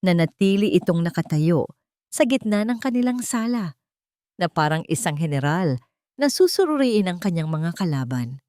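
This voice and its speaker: A woman's voice: on the low side, big pitch swings, moderate pace, dark tone, no room sound, studio quality. A woman reading a scripted story as narrator in a dramatic style.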